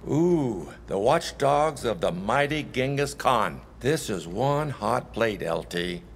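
Smug theatrical game sheriff, slow swoopy delivery, big reverb. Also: male voice, medium pitch, expressive, gravelly. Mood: intrigued. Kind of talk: video game cutscene acting. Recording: long reverb, faint noise.

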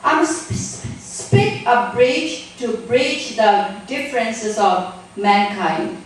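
Slow-speaking woman on stage, very echoey. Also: fairly high pitch, animated, recorded from a distance. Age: middle-aged. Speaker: guest poetry reader. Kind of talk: introducing and reading spoken-word poetry. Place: small theater stage, indoors. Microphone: handheld microphone, recorded by a distant camera. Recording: very echoey room, picked up from afar.

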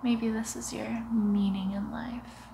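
Woman as a very slow, breathy vampire seductress. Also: on the high side, flat delivery, background noise. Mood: menacing, hungry. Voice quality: breathy, with wet mouth sounds. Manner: slow, hushed, often slipping into whispers, teasing. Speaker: ASMR roleplay performer. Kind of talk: ASMR roleplay, close breathy murmuring. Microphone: close to the mic.

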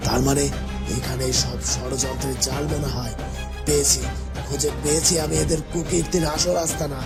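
A man's voice: fairly high pitch, flat delivery, brisk, natural voice. A man voicing a wary, determined fugitive in a fairy-tale cartoon, thinking aloud in an earnest, dramatic way. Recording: loud music behind the voice.

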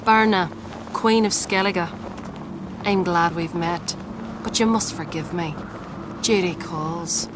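A woman's voice: lowish voice, slow, pauses often, background noise. An actress playing a proud, ambitious queen in a fantasy video game, stern and formal in manner. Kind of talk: video game dialogue acting.

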